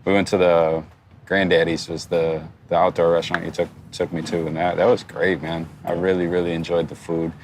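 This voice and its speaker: A man's voice: low voice, level tone, a little fast, darkish tone, a little hiss or hum. A man co-hosting a podcast, chatting in an informal, agreeable way. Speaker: podcast co-host. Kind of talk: casual podcast chat.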